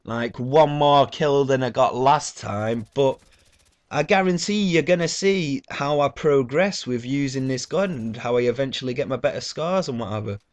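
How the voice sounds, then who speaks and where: A man's voice: medium pitch, moderate pace, darkish tone. A man giving casual gameplay commentary with a blocked nose, rambling and swearing loosely between fillers. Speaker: gaming YouTuber.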